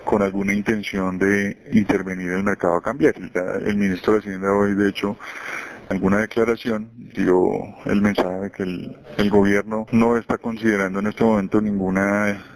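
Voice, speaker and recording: A man's voice: on the low side, little pitch movement, normal speed, poor audio. A man giving an unscripted, explanatory market briefing as a financial analyst on a conference call, often repeating words as he phrases his points.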